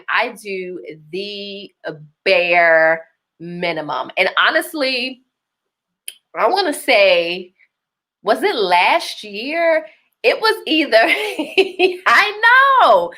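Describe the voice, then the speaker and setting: A young woman with a Baltimore accent hosts a live online show. Her voice is bright and forward. She is upbeat and chatty, laughs often and reads viewers' comments aloud. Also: high voice. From Baltimore, Maryland. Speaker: host. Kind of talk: live-stream chat with viewers.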